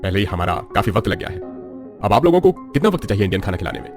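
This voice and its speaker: A man playing a measured, discerning company owner in a story, speaking formally. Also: on the low side, very animated, soft background music. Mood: reserved.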